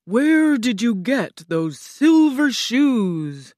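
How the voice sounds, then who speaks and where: A woman acts out a huge, stern wizard head from a storybook. He speaks in loud, drawn-out, commanding pronouncements with big swoops of pitch, recorded close and dry. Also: big pitch swings, dry recording, full character voice. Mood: imposing. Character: giant disembodied wizard head, commanding, imposing, stern, male. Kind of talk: storybook character voice.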